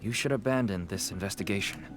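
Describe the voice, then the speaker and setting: A man playing an earnest smuggler merchant and devoted father in a video game, speaking in a measured, persuasive way. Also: fairly low pitch, music in the background, close to an everyday voice. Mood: grave, warning.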